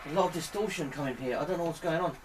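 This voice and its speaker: A man speaking in deliberate, pleading short phrases, putting questions to a spirit as an amateur experimenter. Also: a little high. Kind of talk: slow spoken questions addressed to a spirit. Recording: distant mic, clear background noise.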